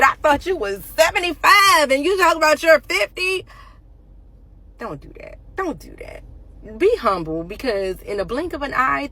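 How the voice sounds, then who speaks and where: A woman's voice: high voice, bright, forceful tone. A woman of fifty talking casually and emphatically into her phone, defending people who share their age. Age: 50s. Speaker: commentator. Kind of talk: casual opinion rant.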